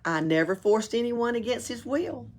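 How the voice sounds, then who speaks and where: A woman playing a bold little storybook girl, cheeky, exuberant, emphatic, husky, very lively pitch. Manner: emphatic, drawn-out counting and confident retorts.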